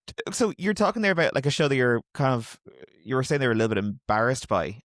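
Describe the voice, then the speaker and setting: Mid-pitched man hosting an interview. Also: fast, no room sound, studio quality. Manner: relaxed, teasing, asks questions with fillers. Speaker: interview podcast host. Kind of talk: interview conversation.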